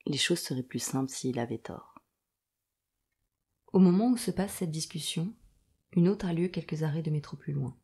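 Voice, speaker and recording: Creaky, dark-toned wry narrator, voiced by a woman. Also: low voice, expressive, normal speed, pauses often, exaggerated voice. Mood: wry, subdued. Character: wry, detached storyteller of a noir tale. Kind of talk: scripted audio drama narration.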